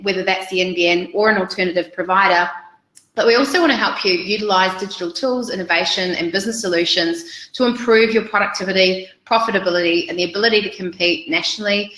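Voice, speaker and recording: A woman's voice: medium pitch, fast, bright, forceful tone, reverberant, poor audio. A woman in her forties with a New Zealand accent, presenting a business webinar over slides in an upbeat, chatty way with frequent ums.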